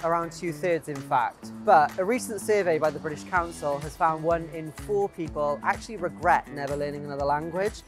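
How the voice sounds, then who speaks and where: Brief: female reporter, very low voice, news delivery, music bed. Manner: brisk broadcast reporting.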